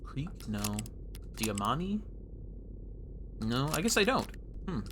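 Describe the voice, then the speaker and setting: A man's voice: fairly high pitch, sing-song, slow pace, noisy background. A man giving casual playthrough commentary as a game streamer, playfully musing aloud as he goes. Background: game music.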